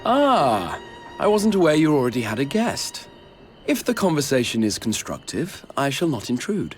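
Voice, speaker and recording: A man's voice: medium pitch, sing-song, normal speed, lightly acted. A man playing a noble, courteous guardian mage in a fantasy video game, weary but hopeful, with formal, eloquent phrasing. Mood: polite warning. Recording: orchestral music behind the voice.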